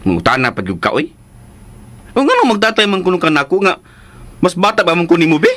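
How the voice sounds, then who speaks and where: A high, animated male voice plays a folksy, protective uncle with a Cebuano accent. He teases and blusters in radio drama style, swinging widely in pitch and always ready to stand his ground. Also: brisk. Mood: teasing.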